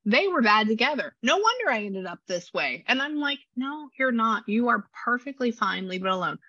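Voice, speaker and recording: A woman with a low voice and a slight rasp, chatting through a spirit reading and passing on messages. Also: big pitch swings, moderate pace, bright, forceful tone, no echo, dull audio. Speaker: psychic medium.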